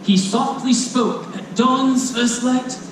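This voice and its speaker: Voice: high voice, fairly even intonation, slow. Echoey, theatrical live storyteller. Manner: dramatic, playful, addressing listeners directly. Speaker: storyteller presenting a children's book. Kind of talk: theatrical rhyming storybook reading to a live audience. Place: convention stage before a live audience. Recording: echoey live hall, distant mic, faint noise.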